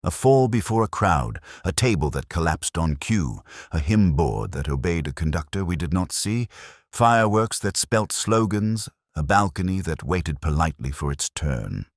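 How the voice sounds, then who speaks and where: A man voicing a mild, shrewd police inspector in a mystery story, with deliberate, theatrical phrasing. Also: sits low, sing-song, no echo, studio quality, heavily acted. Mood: measured, mild. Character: mild, shrewd male police inspector, calmly exposing culprits. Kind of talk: dramatised mystery story dialogue.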